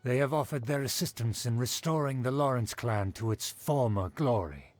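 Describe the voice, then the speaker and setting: A man's voice: mid-range voice, expressive, normal speed, darkish tone, no room sound, close to an everyday voice. Man acting a pompous aristocrat with sweeping intonation. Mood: pleased, formal. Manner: formal, grandiose, condescending, flustered when slipping. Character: pompous, haughty aristocrat of a faded noble clan. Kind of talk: video game voice acting.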